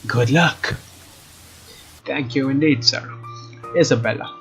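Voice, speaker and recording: A man's voice: mid-range voice, slow, a bit bright. A young man hosting a let's play, commenting on a game and reading its text aloud in a casual, jokey way. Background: faint game music.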